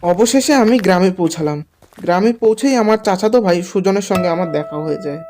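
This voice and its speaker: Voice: mid-range voice, a little fast, a little mellow. A storyteller giving scripted first-person narration for a horror cartoon. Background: music with guitar.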